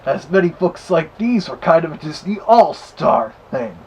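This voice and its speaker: A man voicing the Big Bad Wolf as a chatty vlog host with a gravelly, husky voice, casually reviewing. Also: fairly high pitch, very animated, normal speed, a little mellow, a little hiss or hum. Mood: chatty, easygoing.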